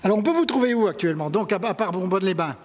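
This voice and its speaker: High-voiced male interviewer. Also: expressive, very fast. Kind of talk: on-site interview presenting an exhibition. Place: indoors in an exhibition room at a tourist office.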